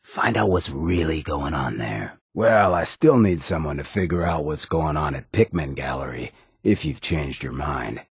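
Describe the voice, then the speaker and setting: An actor voicing a sardonic, streetwise ghoul town boss for a video game, with a gravelly rasp and a sly, slangy delivery. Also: male voice, extremely low pitch, no echo, studio quality, exaggerated voice. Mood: wry bargaining. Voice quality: gravelly, raspy. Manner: sly, laid-back, slangy. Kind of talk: video game companion voice lines.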